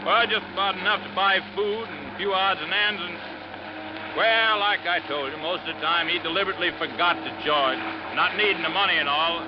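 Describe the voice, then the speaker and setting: Loud noise and a dull vintage radio sound surround a folksy American lakeside sidekick, acted by a man. His voice sits high, and he talks informally, with eager excitement. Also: brisk, close to an everyday voice. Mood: chatty. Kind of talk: old-time radio drama acting.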